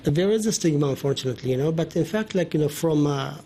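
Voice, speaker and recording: A man from Oman speaking as a linguist guest, explaining in a halting way with many hesitations. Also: fairly high pitch, expressive, mellow, slight background noise. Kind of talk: explanatory podcast talk.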